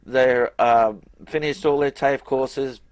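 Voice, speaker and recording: A man's voice: mid-range voice, slow pace, no room sound, rough recording. Man, host; easygoing, joking chat; dry, dead-sounding recording. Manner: joking, relaxed, casual. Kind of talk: casual interview chat.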